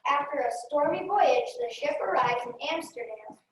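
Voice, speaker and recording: Woman narrator for a readers theater script, higher register, recorded far from the mic in heavy echo. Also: animated, normal speed. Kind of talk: scripted read-aloud narration with acted dialogue. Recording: echoey room, distant mic, faint noise.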